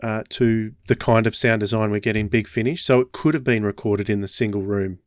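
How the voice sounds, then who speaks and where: A man's voice: fairly low pitch, steady tone, a little fast, no echo, studio quality. A man hosting a podcast, chatting casually with guests about audio dramas. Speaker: podcast host.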